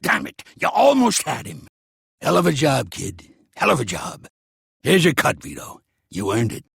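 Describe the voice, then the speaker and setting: An actor playing a gruff, streetwise older mobster in a video game, with an American accent, a raspy voice and a blunt, slangy, commanding way of talking. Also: male voice, mid-range voice, wildly swooping pitch, normal speed, a bit bright, dry recording, studio quality, heavily acted. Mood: barking, urging, then coaching, gruff. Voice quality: raspy, gravelly. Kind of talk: video game character acting.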